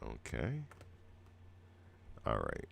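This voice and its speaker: A man's voice: very deep voice, wildly swooping pitch, extremely slow, gravelly, fairly bright tone. A middle-aged man hosting a music show in a rambling, laid-back chat, his throat scratchy from a cold. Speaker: radio show host. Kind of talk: casual live show chat.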